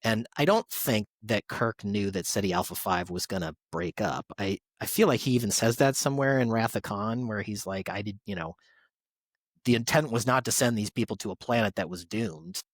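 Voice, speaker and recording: A man's voice: on the low side, moderate pace, no echo. A man chatting casually and enthusiastically as a podcast panelist. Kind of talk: casual chat.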